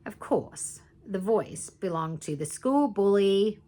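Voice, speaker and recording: Highly animated woman reading a storybook. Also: slow. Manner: animated, dramatic emphasis with asides to young listeners. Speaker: teacher reading aloud to primary school students. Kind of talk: expressive children's storybook read-aloud.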